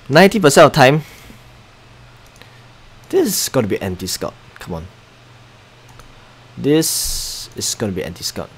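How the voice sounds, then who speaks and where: Young Singaporean male game streamer, Singaporean accent; casual, chatty commentary with muttered asides. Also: lowish voice. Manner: chatty, muttering asides, reading chat aloud.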